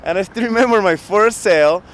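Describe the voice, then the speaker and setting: A man in his thirties from Bulgaria telling his work story in a casual outdoor interview, animated and laughing often, into a handheld mic. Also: high voice, brisk, a little hiss or hum. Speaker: guest entrepreneur. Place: outdoor city square in winter. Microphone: handheld mic shared, camera close.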